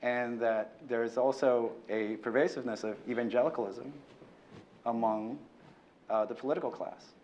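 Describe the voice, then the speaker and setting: A man speaking on an academic panel, thoughtful and hesitant, often pausing with fillers and tag questions. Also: mid-range voice, fairly even intonation, darkish tone, dull audio, slight background noise. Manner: hesitant, many fillers and "right?" tags. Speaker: panelist, former fellow.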